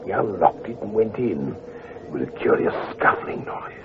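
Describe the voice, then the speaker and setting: An actor playing a loyal, earnest male doctor companion who gets excitable when alarmed, in 1940s radio acting style. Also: lowish voice, moderate pace. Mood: hushed report. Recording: hissy, tinny 1940s radio recording.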